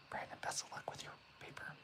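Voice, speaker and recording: A young man hosting a livestream who whispers throughout, chatting softly and reassuringly with his viewers. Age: young adult. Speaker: ASMR livestream host. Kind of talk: whispered ASMR livestream chat.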